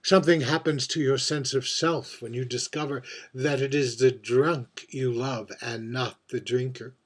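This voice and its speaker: A man acting out a heartbroken, boozy drag queen in a stage monologue. The voice is husky and breathy, and the words come very slowly, with theatrical pauses. The delivery is wistful and confiding, like someone pouring their heart out at a bar. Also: medium pitch, fairly even intonation, lightly acted. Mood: wounded, rueful. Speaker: writer reading own monologue.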